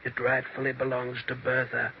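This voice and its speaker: A man acts out a brooding male ghost in a vintage radio mystery. His delivery is grave, remorseful and gravelly, pressing each warning. The old recording is dull, with light hiss behind him. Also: mid-range voice, monotone, close to an everyday voice. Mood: grave, insistent. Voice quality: hushed, breathy whispering at times. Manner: solemn, slow pleading warnings. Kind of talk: 1940s radio mystery acting.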